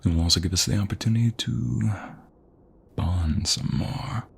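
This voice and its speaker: Man playing a devoted mobster fiancé, low gravelly murmur, very breathy, protective, teasing, intimate ASMR roleplay. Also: slight background noise. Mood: warm, playful. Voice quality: husky, breathy, often dropping to whisper. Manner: affectionate, teasing, slow and murmuring. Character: protective, tender mobster fiancé soothing his beloved.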